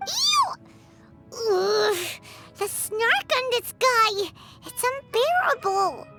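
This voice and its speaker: A tiny fairy sidekick from a video game, with an extremely high, squeaky child's voice. The delivery is excitable and indignant, full of exclamations and wide swings in pitch. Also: female voice, drawn-out words, full character voice. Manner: exclamatory, speaks of self in third person. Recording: music behind the voice.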